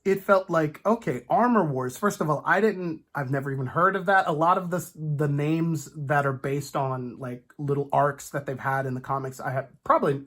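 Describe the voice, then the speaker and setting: A man co-hosting a fan discussion in a casual, animated way, often slipping into imagined quotes as he talks. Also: fairly high pitch, normal speed, hardly any pauses. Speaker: co-host of a fan discussion show.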